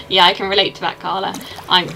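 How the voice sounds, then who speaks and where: A woman's voice: medium pitch, vocal fry, noisy background. A young woman with an English accent, chatting casually and rambling while she plays a game and answers her chat. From England. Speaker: streamer.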